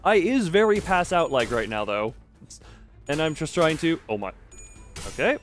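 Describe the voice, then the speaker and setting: A man's voice: a little high, expressive, moderate pace. A man doing casual livestream game commentary, thinking aloud in a rambling way and often trailing off mid-sentence. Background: game music.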